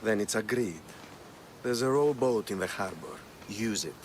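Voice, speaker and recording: An actor playing a middle-aged villain in a video game, smooth and cold, speaking in a measured, condescending way. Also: male voice, on the low side, expressive, a little hiss or hum. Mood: calculating. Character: smooth, sinister middle-aged male schemer and employer. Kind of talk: video game cutscene acting.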